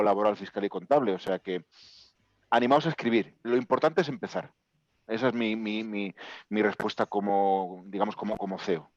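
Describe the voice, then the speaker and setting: A man's voice: on the low side, dry recording, lo-fi sound. A man from Bilbao who runs a company, giving direct, encouraging advice in short commands on a video call panel. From Bilbao, Spain. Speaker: company CEO. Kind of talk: webinar panel answer.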